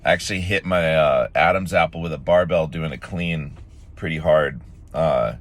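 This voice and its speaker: Low, raspy-voiced male vlogger, sore throat, casual rambling delivery. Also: moderate pace. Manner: rambling, many fillers and restarts. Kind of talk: casual morning vlog talk.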